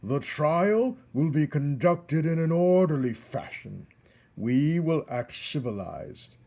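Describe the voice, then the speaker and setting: A man's voice: a little high, lively intonation, slow pace, mellow, voice pushed up. A man voicing a stern, pompous old head mouse who declaims formally and indignantly in a storybook. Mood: commanding.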